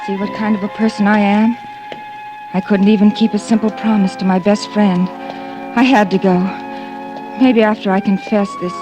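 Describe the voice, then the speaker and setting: An actress playing a distraught, guilt-ridden young woman in an old radio drama, speaking in a halting, anguished way. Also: medium pitch, lightly acted, low-quality recording. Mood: numb, resigned. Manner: halting, anguished, self-accusing. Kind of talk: 1940s-50s radio drama acting.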